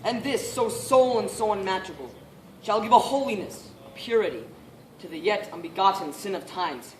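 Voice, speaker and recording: A woman's voice: medium pitch, expressive, moderate pace, lightly acted. Woman playing proud angry nobleman, echoey stage. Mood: grieving outrage. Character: proud, indignant English nobleman, quick to anger. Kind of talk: amateur Shakespearean stage acting. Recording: echoey room, distant mic, faint noise.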